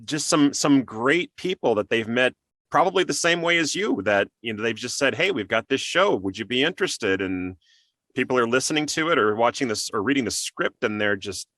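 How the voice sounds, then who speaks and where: Bright-voiced man hosting an interview. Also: medium pitch, lively intonation, a little fast, dry recording, clean studio sound. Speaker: interview host, voice actor. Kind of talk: casual interview chat.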